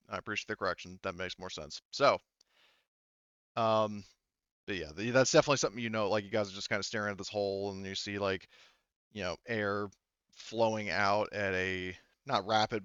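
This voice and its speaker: A man narrating a tabletop roleplaying game casually as game master, improvising in a halting, rambling way and rephrasing as he goes. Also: lowish voice, steady tone, fairly bright tone, smooth, no room sound, muffled recording. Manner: halting, rambling, often rephrasing mid-sentence.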